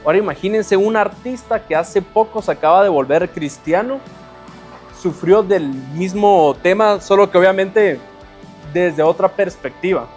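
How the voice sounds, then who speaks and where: A male host with a high voice explains things straight to his viewers, talking them through between clips. Soft music plays in the background. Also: normal speed. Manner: explanatory, addresses the audience directly. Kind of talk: commentary on video clips.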